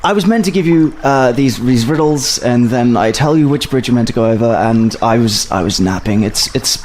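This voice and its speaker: A man voicing a huge, polite and sleepy male owlbear who talks in a halting, apologetic way. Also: medium pitch, expressive, darkish tone, a little hiss or hum, natural voice.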